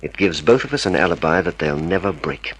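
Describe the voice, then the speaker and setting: A man's voice: low voice, expressive, brisk, fairly bright tone, slightly rough, exaggerated voice, poor audio. A man acting in a radio drama as a cold, scheming murderer, methodical and commanding. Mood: calculating, smug. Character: cold, calculating murderous male dentist, secret lover, scheming.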